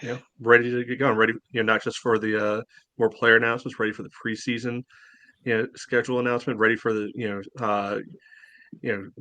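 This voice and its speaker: A man's voice: mid-range voice, flat delivery, fast talker, bright, forceful tone, dry recording. A man co-hosting a casual sports podcast, with a loose delivery full of "you know". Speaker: podcast co-host.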